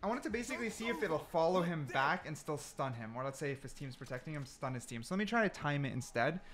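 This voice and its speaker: A man with a somewhat higher-than-average voice talks through gameplay in a casual, unscripted way. He reasons aloud and corrects himself as he goes. Music plays clearly behind him. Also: animated, quick pace, a bit bright. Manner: informal, thinking aloud with self-corrections. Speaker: gaming video creator. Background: background music.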